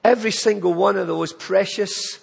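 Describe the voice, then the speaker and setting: A man's voice: sits high, steady tone, moderate pace, vocal fry, slightly rough, long reverb tail. A man with a Glaswegian accent giving an earnest, pleading charity appeal in church. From Scotland. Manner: earnest, pleading, building to emphatic lists.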